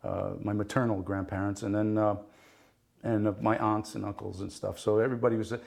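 A middle-aged man who grew up speaking Italian, talking as a guest in a relaxed interview. His speech wanders, full of fillers and restarts, and he often breaks into laughter. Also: on the low side, fairly quick, vocal fry. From Italy. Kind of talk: casual interview chat.

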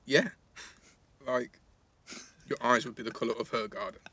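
Bright husky teasing teenage lad. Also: male voice, mid-range voice, steady tone, normal speed, no room sound. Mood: soft, teasing. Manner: blunt, teasing, slangy. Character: cheeky, restless teenage schoolboy in care, teasing. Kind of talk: naturalistic audio drama dialogue.

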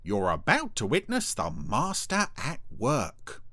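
A man's voice: medium pitch, wildly swooping pitch, slow, pushed and bright, no echo, voice pushed up, heavily acted. A man voicing a cheery, cheeky little character who teases, in a children's storybook reading. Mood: teasing. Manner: chirpy, teasing. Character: cheery, cheeky, teasing male storybook creature.

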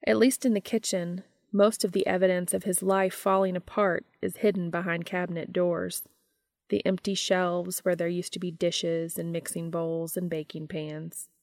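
Low-voiced woman reading fiction aloud. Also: flat delivery, normal speed, darkish tone, dry recording, studio quality. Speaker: podcast host reading fan fiction aloud. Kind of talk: scripted audiobook-style narration.

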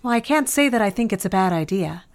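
A worldly, motherly office confidante, voiced by a woman for an audio drama. Her voice sits at a mid pitch, and her manner is soothing and confiding, with a gossipy edge. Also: animated, quick pace, natural voice. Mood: grave. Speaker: office coworker and former secretary.

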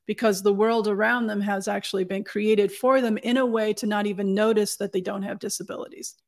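Earnest, articulate woman podcast guest. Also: medium pitch. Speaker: communications consultant, podcast guest. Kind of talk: conversational interview answers.

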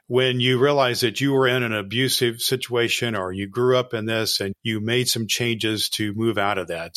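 A man's voice: medium pitch, fairly bright tone, dry recording, clean studio sound. A man hosting a podcast interview, summing up the guest's points and asking probing questions. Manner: summarizing, probing. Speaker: podcast host.

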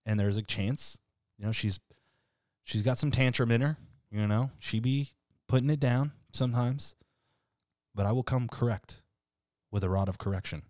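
A man in his thirties with a deep voice, hosting a casual podcast chat in a relaxed, freely swearing way. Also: normal speed, pauses often, no room sound, clean studio sound. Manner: laid-back, swears freely, laughs often. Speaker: podcast host.

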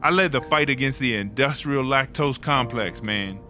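Over a hip hop beat comes a brash, streetwise woman inmate played by a man, with an ordinary male-range pitch. The telling is slangy, rambling and indignant, in the style of a comedic audio drama full of tall tales. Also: moderate pace, sharp tone, lightly acted. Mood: indignant, boastful. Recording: hip hop music behind the voice.

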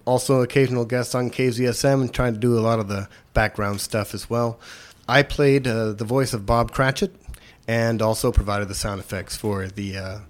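Male occasional radio host, medium pitch, casual unscripted chat. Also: fairly even intonation, normal speed. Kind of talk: casual self-introduction.

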